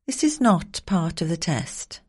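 A woman reads the instructions for a language exam recording in a slow, formal manner. Her intonation is lively, and every word is clearly enunciated. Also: fairly low pitch. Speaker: exam narrator.

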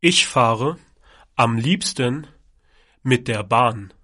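A young man from Germany speaking slow, carefully enunciated scripted lines for a language exam recording. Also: medium pitch, animated, bright, forceful tone.

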